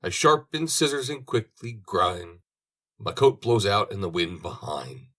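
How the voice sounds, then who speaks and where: A man as a sly, merry tradesman, husky. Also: on the low side, expressive, a little slow, bright, forceful tone, full character voice. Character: merry, sly male scissors grinder peddling his trade.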